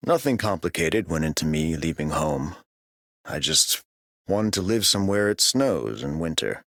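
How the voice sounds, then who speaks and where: An actor voicing an easygoing, plain-spoken wanderer in a game, casual and unfussy. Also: male voice, extremely low pitch, animated, normal speed, a bit bright, no room sound, clean studio sound, heavily acted. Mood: reflective, casual. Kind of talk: scripted game character voice line.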